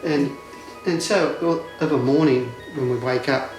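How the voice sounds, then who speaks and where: Man householder, relaxed and chatty, roomy sound. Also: medium pitch, measured pace, faint music behind. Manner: easygoing, chatty. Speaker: householder interviewee. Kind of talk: casual interview answers. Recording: echoey room.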